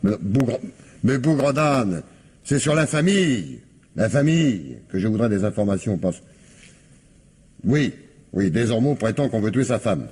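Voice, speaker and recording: An actor playing a gruff, impatient police investigator in a French radio mystery, brusque and grumbling, with hesitations. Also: male voice, fairly low pitch, lively intonation, unhurried, long pauses, a little mellow. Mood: exasperated.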